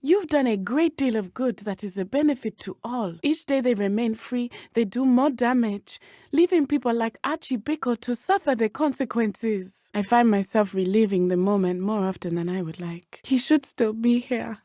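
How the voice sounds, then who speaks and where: A woman's voice: fairly high pitch, normal speed, mellow, no echo, clean studio sound, lightly acted. A woman playing a brave, earnest teenage witch in a video game, with a southern African accent and formal, precise phrasing. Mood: sorrowful, reflective. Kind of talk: video game character dialogue.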